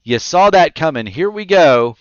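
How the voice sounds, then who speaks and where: A man calling a virtual stock car race as play-by-play commentator, quick and excitable on the action, his voice getting tired. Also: a little high, clear, resonant tone, no echo, muffled recording. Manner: rapid excited play-by-play, self-correcting. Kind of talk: sim racing commentary.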